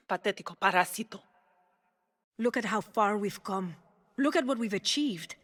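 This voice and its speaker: An actress playing a brisk, sharp-minded scientist in a video game, matter-of-fact and technical, with a Spanish accent and a processed voice. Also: female voice, mid-range voice, lively intonation, studio quality. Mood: scornful. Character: brisk, sharp-minded female scientist studying an alien parasite. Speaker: scientist and engineer briefing operators. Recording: thin band-limited radio, some long hall reverb.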